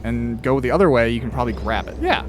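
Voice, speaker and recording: A man's voice: medium pitch, expressive, fast, a little hiss or hum. A man interviewing in an easygoing, casual way while playing a video game. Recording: music in the background.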